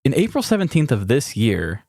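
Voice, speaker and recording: A man with a mid-pitched voice co-hosts a podcast in an informal, storytelling way. He moves between casual chat and reading articles aloud, recorded dry with very little room sound. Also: wildly swooping pitch, normal speed, darkish tone, dry recording. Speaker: podcast co-host.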